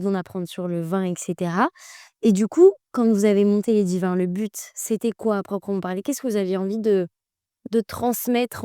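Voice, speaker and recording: A young woman hosts a casual podcast chat and asks her guests questions. Her voice is smooth and mellow. The recording is dry and close. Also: medium pitch, dry recording. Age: young adult. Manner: friendly, asks questions, uses fillers. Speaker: host.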